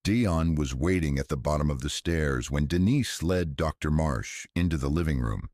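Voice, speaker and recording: A man narrating a scripted thriller story in a measured, serious manner. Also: a bass voice, a little mellow, dry recording, studio quality. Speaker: audiobook narrator.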